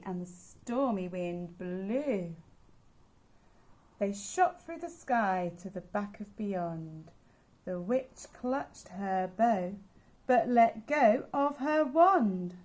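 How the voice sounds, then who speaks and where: A woman childminder reading a picture book aloud to children in an expressive sing-song way. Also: medium pitch.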